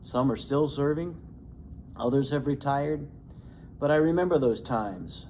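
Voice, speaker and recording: A man in his 50s who grew up in Indiana speaks in an even, earnest, measured way, at a middle pitch for a man. A faint background hiss sits under his voice. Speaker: veterans group representative. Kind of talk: introduction and personal reflection.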